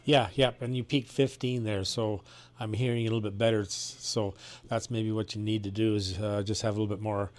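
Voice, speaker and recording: A man's voice: on the low side, little pitch movement, fairly quick. A man chatting casually as a ham radio operator, easygoing and reassuring.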